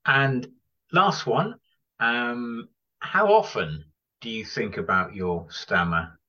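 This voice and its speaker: A man hosting a casual interview podcast, asking questions in a halting way with repeated words and phrases. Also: medium pitch, sharp tone, dull audio.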